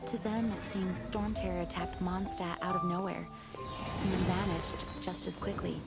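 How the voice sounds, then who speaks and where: A woman voicing a dutiful female knight leader, narrating a game cutscene in a measured, formal way. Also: fairly low pitch, steady tone, over loud music, close to an everyday voice. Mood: composed.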